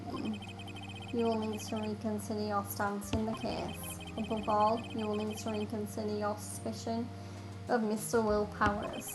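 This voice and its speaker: A woman's voice: mid-range voice, completely flat delivery, normal speed, natural voice, poor audio. A woman with an English accent reading the lines of a stern older male judge from a courtroom game aloud, in a formal manner. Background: game music.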